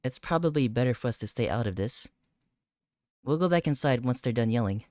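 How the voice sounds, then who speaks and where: Woman reading as a deep, apologetic schoolgirl president. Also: expressive, a little fast, a little mellow, no echo, clean studio sound. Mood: apologetic, soft. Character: apologetic, mild-mannered teenage girl club president in visual novel. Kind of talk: acted reading of game dialogue.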